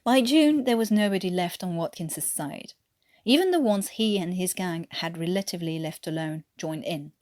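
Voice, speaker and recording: A woman's voice: lowish voice, moderate pace, a little mellow, no echo, clean studio sound. A French woman reading a story aloud as an amateur narrator, with a French accent reaching for an English one. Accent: French, attempting English. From France. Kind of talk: expressive scripted story narration.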